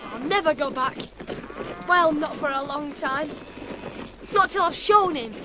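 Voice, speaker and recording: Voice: high voice, unhurried, noisy background. A performer playing a resentful, stubborn teenage runaway boy with a Northern English accent, blunt and hot-headed in a radio drama. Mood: wary.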